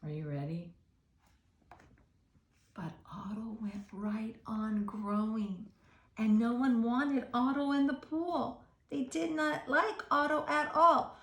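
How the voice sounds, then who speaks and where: A woman's voice: fairly high pitch, takes it slowly, fairly bright tone, roomy sound. A woman reading a picture book aloud to children, expressively, with questions and asides to her young listeners.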